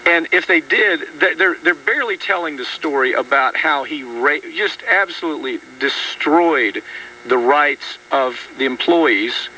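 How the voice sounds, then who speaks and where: A man's voice: a little high, measured pace, bright, forceful tone. A man hosting a political talk radio show, talking indignantly and often restarting his sentences. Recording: dull distant radio broadcast with faint hum.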